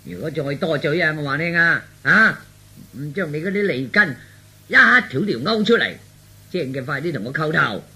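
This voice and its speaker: A man playing a brash young son who feigns madness, blustering and scolding in a comic radio drama, with a husky voice. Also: fairly high pitch, big pitch swings, normal speed, bright, forceful tone, full character voice. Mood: mock-mad ranting.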